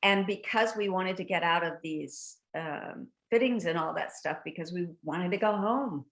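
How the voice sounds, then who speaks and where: An older woman, a guest actress, chatting in an interview over a video call, telling lively stories and laughing often. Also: fairly low pitch, moderate pace. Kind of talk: casual interview chat.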